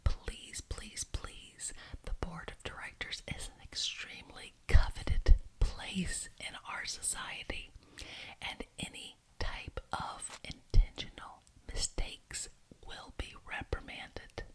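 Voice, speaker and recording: A woman whispering breathily in an ASMR roleplay as a polite, officious witch addressing a meeting, deliberately repeating some words. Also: rough recording. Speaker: ASMR roleplayer as head of a witches' homeowners association.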